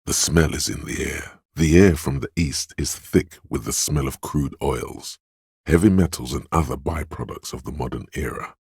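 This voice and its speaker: A gravelly inner sense of smell. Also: male voice, a little mellow, dry recording, studio quality, full character voice. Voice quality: deep gravelly husky voice. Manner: slow, sardonic, lingering delivery. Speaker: voice actor for game narration. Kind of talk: scripted game voice lines. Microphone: close studio mic.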